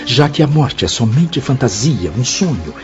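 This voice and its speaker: A man's voice: medium pitch, sing-song, moderate pace, lightly acted. An actor playing a remorseful spirit of a man in a radio drama, solemn and fervent as he pleads for penance. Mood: anguished, resolute. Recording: muffled radio drama with loud music.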